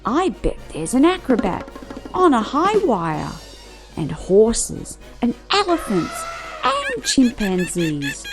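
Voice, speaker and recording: A woman voicing a curious, lively little storybook boy. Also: a little high, slow, exaggerated voice. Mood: excited, conspiratorial. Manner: wonder-filled, conspiratorial. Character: curious, excitable little boy whispering to his teddy. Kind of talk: children's storybook character reading. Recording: music behind the voice.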